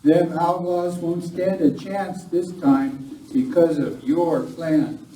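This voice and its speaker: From off in an echoey room, a man plays a folksy, confident lawman in a Western radio play. He speaks slowly in a very dark tone. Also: a little high, fairly even intonation. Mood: confident, eager. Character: confident, folksy Western sheriff reporting for duty. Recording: distant, echoey live recording, faint noise.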